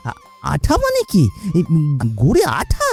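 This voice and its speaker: A woman's voice: medium pitch, huge pitch swings, measured pace, dark tone, faint music behind, full character voice. Woman voicing a nervous, swooping cartoon villager. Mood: alarmed. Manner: stammering, anxious questions. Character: nervous, deferential villager serving the headman. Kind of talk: cartoon voice acting.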